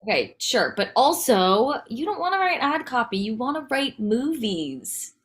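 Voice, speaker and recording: A coaxing, candid wife, acted by a woman at a table read. She is direct and natural in delivery. Her voice sits a bit high and has animated, varied intonation. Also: a little slow. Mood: wry, candid. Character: candid, coaxing wife urging her husband toward his dreams. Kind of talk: table read of a TV pilot script.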